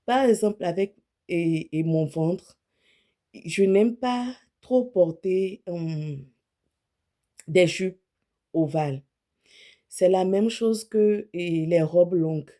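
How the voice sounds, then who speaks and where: A woman's voice: lowish voice, slow pace, pauses often, dark tone. A woman in her thirties, an image consultant, speaking casually and frankly to camera about what to wear, often correcting herself mid-sentence. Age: 30s.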